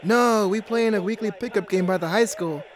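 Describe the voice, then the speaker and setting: An actor playing a mild, slightly defensive man in his early twenties, hesitant and low-key, in an audio drama. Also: brisk, lightly acted. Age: young adult. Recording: clear car and street noise.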